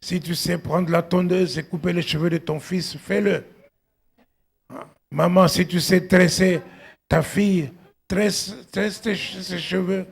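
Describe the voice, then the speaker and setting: A middle-aged male pastor delivers an exhortative sermon. His voice is fairly high, husky and gravelly. He speaks slowly and emphatically, punctuating his points with exclamations and questions to the congregation. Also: steady tone. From outside Belgium, arrived at eighteen.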